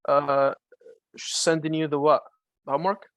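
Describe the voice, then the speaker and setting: A man's voice: on the high side, level tone, unhurried, a bit bright, no echo. A man with a Malaysian accent, answering viewers' questions about trading in a casual, chatty way full of fillers and restarts. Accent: Malaysian English. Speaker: trading mentor. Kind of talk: live-streamed trading Q&A.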